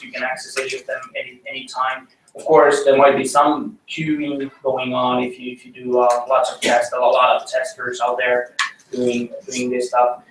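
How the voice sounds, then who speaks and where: A man's voice: mid-range voice, recorded from a distance. Finnish-accented man presenting in echoey room. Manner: hesitant, many ums and uhs. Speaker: conference speaker. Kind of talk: tech meetup presentation. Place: meeting room. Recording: roomy echo, mic from afar, faint noise.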